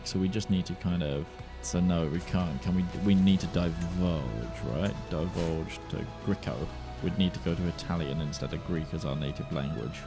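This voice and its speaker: A man casually narrating his own strategy game run, thinking aloud and swinging between flat musing and sudden excitement. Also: fairly low pitch. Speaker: gaming YouTuber.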